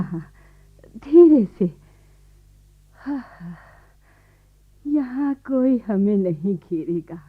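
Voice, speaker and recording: Radio drama: simple, exasperated elderly village grandmother, rather high pitch, muffled archive sound. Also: full character voice. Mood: relieved, soothing. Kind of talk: radio play acting. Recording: dull archival radio recording.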